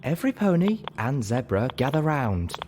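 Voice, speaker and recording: A man's voice: medium pitch, sing-song, normal speed, background noise, pitched above the performer's own voice, natural voice. A man voicing a polite, wryly chiding female unicorn medic in an audiobook reading.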